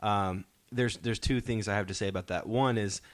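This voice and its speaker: A man's voice: fairly low pitch, steady tone, normal speed, no echo. A man hosting a podcast in casual pop-culture discussion, explaining his points and posing rhetorical questions. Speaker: podcast host. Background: soundtrack music playing faintly.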